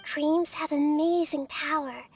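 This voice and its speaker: A woman voicing a small, wise girl deity in a video game, speaking in a measured, formal, earnest way. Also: high-pitched, steady tone, slight background noise. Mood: earnest, gentle. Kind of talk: scripted video game voice acting.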